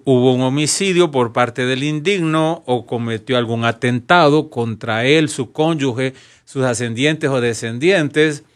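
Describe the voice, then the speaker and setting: A Honduran man speaks on talk radio in a mid-pitched voice. His delivery is conversational and measured, like a legal adviser laying things out for listeners. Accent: Honduran Spanish.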